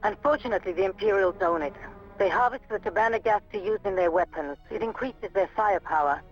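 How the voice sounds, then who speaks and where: A man performs a young defector pilot for a space combat game. The voice is high, earnest and defiant, with plain military phrasing. The sound is dull and lo-fi, with faint music behind. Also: fast talker, lightly acted. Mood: matter-of-fact briefing. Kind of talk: video game cutscene acting.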